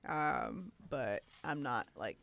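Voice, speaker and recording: A woman's voice: extremely low pitch, sing-song, takes it slowly, vocal fry, a bit bright. Laid-back young Texan woman. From Texas. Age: 20s. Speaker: guest musician. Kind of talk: casual podcast chat.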